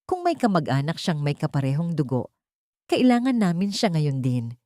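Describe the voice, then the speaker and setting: A woman's voice: low voice, sing-song, moderate pace, warm tone, no room sound, studio quality. Woman as an urgent, formal doctor. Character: urgent doctor addressing a patient's family.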